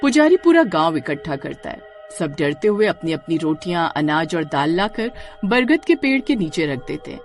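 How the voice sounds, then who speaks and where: A woman narrating a scripted horror story in a dramatic, expressive way. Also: low-pitched, normal speed, soft background music.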